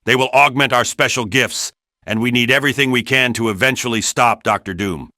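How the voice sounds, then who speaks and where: A man voicing a brisk, authoritative spy director giving a clipped mission briefing in a game. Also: medium pitch, bright, forceful tone, no echo, studio quality, natural voice. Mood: commanding.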